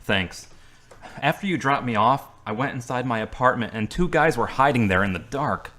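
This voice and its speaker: A man playing a wounded, guarded young man who speaks in a flat, terse way. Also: medium pitch, moderate pace, natural voice.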